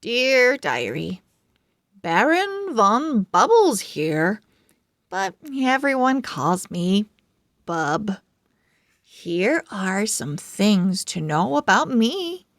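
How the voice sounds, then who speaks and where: A woman voicing a proud, excitable little male pug telling his diary in a children's storybook, with dramatic, earnest phrasing. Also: mid-range voice, extremely slow, no room sound, clean studio sound, exaggerated voice. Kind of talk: children's storybook character narration.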